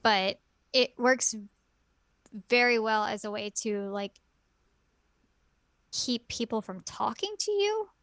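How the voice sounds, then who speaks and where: A woman's voice: a little high, big pitch swings, takes it slowly, pauses often, fairly bright tone, dry recording. A woman co-hosting a casual podcast chat, rambling and giggly with lots of fillers. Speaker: podcast co-host.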